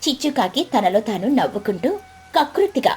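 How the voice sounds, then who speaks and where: A woman's voice: fairly high pitch, big pitch swings, normal speed, warm tone. A woman narrating a scripted children's story as the storyteller. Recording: background music bed.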